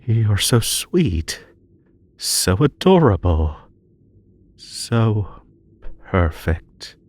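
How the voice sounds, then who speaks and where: Man acting a slow, possessive magical bunny. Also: on the low side, very animated, darkish tone, exaggerated voice. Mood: coaxing, hypnotic. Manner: slow, coaxing, hypnotic, drawn-out soothing delivery. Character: possessive yandere Ether Bunny, male magical creature, sweetly menacing. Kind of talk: intimate scripted roleplay audio with hypnosis. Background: music.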